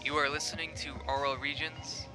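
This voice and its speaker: A man's voice: a little high, flat delivery, fairly quick. A man hosting a podcast, reading scripted episode credits in an announcer's role. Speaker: host, producer. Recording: music playing behind voice. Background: music.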